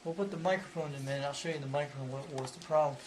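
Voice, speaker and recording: Male hobbyist presenter, slightly high voice, casual rambling repetitive show-and-tell delivery, faint background noise. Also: very fast.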